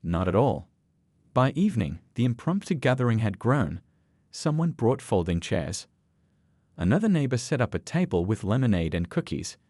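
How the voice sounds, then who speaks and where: A man reading a scripted, sentimental story in a measured, earnest storytelling manner. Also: mid-range voice, sing-song, a little mellow, dry recording. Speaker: story narrator.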